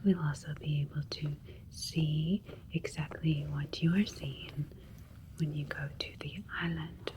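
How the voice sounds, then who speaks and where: A woman playing a gentle, soothing alien doctor in an ASMR roleplay, speaking in a breathy whisper with a clinical manner. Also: low-pitched, steady tone, unhurried, a little hiss or hum, low-quality recording. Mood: calm, clinical.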